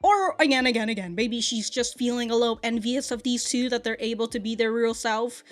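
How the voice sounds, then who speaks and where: A woman's voice: fairly high pitch, a little fast, faint music behind. A woman hosting an anime reaction channel, giving casual review commentary. She is chatty, swears freely, laughs often and thinks aloud with frequent restarts.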